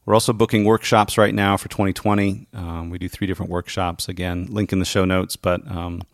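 Podcast host guy, lowish gravelly voice, super casual, kinda halting, dry sound. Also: flat delivery, no room sound.

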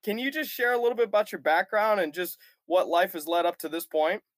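A man hosting a casual podcast interview over a web call, upbeat and quick to laugh along. His voice sits a little high. The sound is dry and close. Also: a bit bright, no room sound. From Michigan. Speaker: podcast host.

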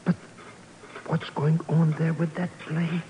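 An actor playing an excitable, bumbling male sidekick in a 1950s radio drama, nervous, repetitive and given to stammering. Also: a little high, slow, muffled recording. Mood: baffled. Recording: noisy, crackly 1950s radio recording.

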